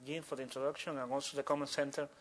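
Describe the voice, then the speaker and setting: A man from Cuba with a Cuban accent and Spanish as his first language, speaking spontaneously and haltingly as a guest author at a live talk. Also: medium pitch, little pitch movement, a little hiss or hum. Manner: halting, with repeated words and broken phrases.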